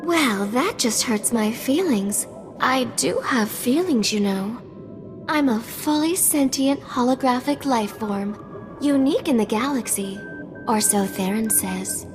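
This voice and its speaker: High-voiced, chatty hologram receptionist. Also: female voice, animated, slow. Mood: sassy, hurt. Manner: perky, gushing, sassy. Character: chatty, flirty, sensitive female hologram receptionist. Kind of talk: cinematic video game dialogue. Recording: hall reverb, faint music behind.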